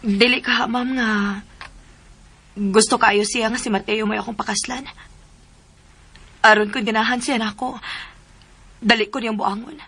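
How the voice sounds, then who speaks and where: Earnest, bright-voiced young woman. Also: fairly high pitch, fairly even intonation, unhurried, long pauses, a little hiss or hum. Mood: fearful, defiant. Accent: Filipino. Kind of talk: Philippine radio drama acting.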